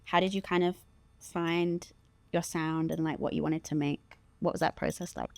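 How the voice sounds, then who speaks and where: A woman's voice: deep voice, steady tone, moderate pace, vocal fry, no echo. A woman hosting an interview, asking questions casually and encouraging her guest with short replies. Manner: encouraging, many short backchannels. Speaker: host interviewer.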